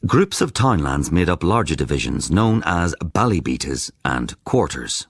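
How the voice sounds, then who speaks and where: A man giving scripted documentary narration in a measured, even storytelling manner, close to a studio mic. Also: a bass voice, breathy edge, no room sound.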